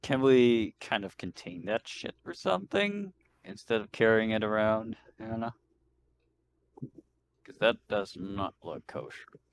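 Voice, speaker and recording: A man's voice: fairly low pitch, lively intonation, measured pace, slight background noise. A man playing a tabletop roleplaying game, chatting casually in a blunt, sweary way.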